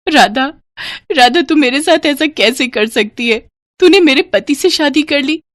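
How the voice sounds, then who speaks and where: Meek, higher-voiced young daughter-in-law, played by a woman. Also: expressive, measured pace, no room sound, clean studio sound. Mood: distraught.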